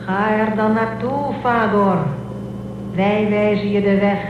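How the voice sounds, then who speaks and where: A woman playing a composed, scheming android guide in a radio drama, speaking with deliberate instruction. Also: mid-range voice, fairly even intonation, lightly acted. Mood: commanding, coaxing. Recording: very echoey, thin phone-like band, noisy, distant.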